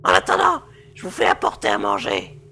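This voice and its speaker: A man's voice: on the low side, huge pitch swings, fairly quick, bright, forceful tone, faint music behind, voice pushed down, full character voice. A man voicing a brutal male pirate chief who mocks and threatens his prisoners in an audio drama reading. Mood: gloating. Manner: gravelly, mocking, leering.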